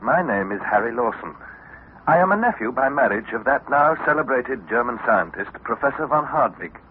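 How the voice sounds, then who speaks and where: An actor playing an earnest young explorer, narrating a radio adventure serial in a deliberate, theatrical manner. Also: male voice, medium pitch, animated, close to an everyday voice. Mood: measured, recounting. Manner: deliberate, theatrical, measured delivery. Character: Harry, earnest young explorer narrating his underground ordeal. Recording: muffled, noisy vintage radio recording.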